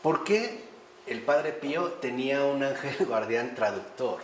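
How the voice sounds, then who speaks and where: Male lecturer, animated, asks the audience rhetorical questions, echoey room with faint background hum. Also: mid-range voice, unhurried. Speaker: priest teaching a class. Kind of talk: conversational lecture on faith.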